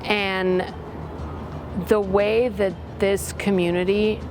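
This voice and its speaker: A woman's voice: mid-range voice, flat delivery, takes it slowly. A woman from Latvia, a school parent and board member, giving a candid testimonial with frank, self-deprecating humor and open feeling. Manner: heartfelt, open about feelings. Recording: music bed under the voice.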